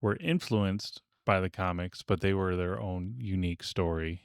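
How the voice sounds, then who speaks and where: A man's voice: sits low, moderate pace, clean tone, dry recording, clean studio sound. A man co-hosting a podcast, chatting casually and making his points in a group discussion. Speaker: podcast co-host.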